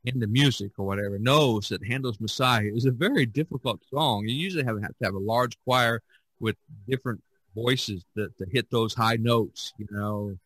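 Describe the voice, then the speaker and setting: A man hosting a casual podcast chat, reminiscing in a halting, rambling way and often repeating his words. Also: mid-range voice, a bit bright, slightly rough, no echo, poor audio. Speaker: podcast host.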